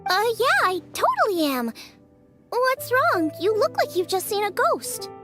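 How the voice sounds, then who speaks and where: A woman's voice: extremely high pitch, unhurried, exaggerated voice. A bubbly young chef girl. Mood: eager. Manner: bubbly, energetic. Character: cheerful young female chef, eager. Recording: game cutscene with music.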